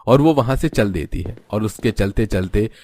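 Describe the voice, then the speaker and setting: A man's voice: fairly low pitch, animated, moderate pace, warm tone, no echo, clean studio sound. A man narrating a scripted cartoon family story.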